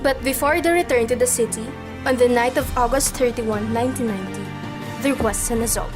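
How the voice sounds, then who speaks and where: Higher-voiced woman narrating over faint music. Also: a little fast. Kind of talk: scripted radio drama narration. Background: soundtrack music.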